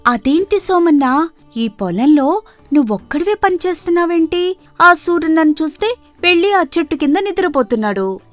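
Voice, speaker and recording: A woman voicing a sharp, caring young daughter in an animated moral story, inquisitive and concerned. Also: very high voice, normal speed, no room sound, soft background music, voice pushed up. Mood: puzzled.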